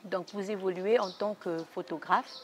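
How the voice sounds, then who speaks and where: A woman's voice: medium pitch, steady tone. A woman hosts a television interview in a studio, speaking formally and politely. She sometimes stumbles and restarts her sentences. A faint noise sits under her voice. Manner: formal greetings, some halting restarts. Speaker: talk show host.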